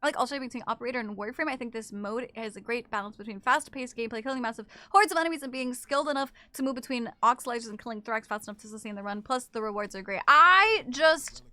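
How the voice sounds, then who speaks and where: Female game streamer, high-pitched and bright, casual joking banter with viewers, sweary and animated. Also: very fast, hardly any pauses, no echo. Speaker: livestreamer playing an online game, chatting with viewers. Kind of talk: casual gaming stream chat.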